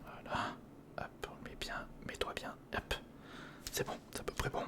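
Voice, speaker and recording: An ASMR creator, speaking in a breathy whisper close to the microphone, casually talking through hands-on work and repeating short phrases. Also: moderate pace. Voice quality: breathy, mostly unvoiced whisper. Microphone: close studio recorder microphone.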